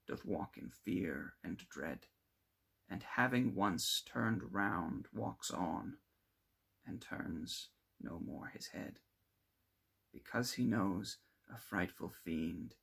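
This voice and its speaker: A man reading classic literary fiction aloud as an amateur narrator, with dramatic, deliberate phrasing. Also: deep voice, vocal fry, a bit bright, slightly rough. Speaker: amateur audiobook reader.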